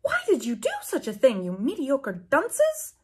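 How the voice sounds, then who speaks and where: A woman voicing a furious old male wizard headmaster, shouting and scolding in a pompous, indignant way. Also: high-pitched, huge pitch swings, a little slow, husky, voice pushed up, full character voice.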